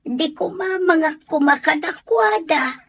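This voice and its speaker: A woman with an Ilocano accent acting an older mother in a radio drama, with a slightly husky voice. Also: extremely high pitch, expressive, slow pace, no room sound, exaggerated voice. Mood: tender, wistful.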